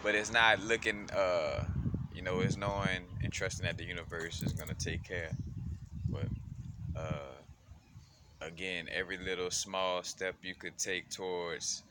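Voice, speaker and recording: Deep-voiced man rambling over loud noise. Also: little pitch movement, a little slow. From Louisiana. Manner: loose, filler-heavy, laid-back slang. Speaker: spiritual vlogger. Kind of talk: casual rambling monologue.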